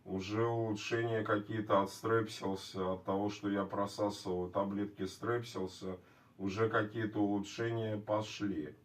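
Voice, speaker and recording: A man vlogging casually to his viewers with a sore, scratchy throat, speaking in a halting, repetitive, plain way. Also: fairly low pitch, level tone. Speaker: video blogger. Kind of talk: casual vlog talk to viewers. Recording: distant microphone.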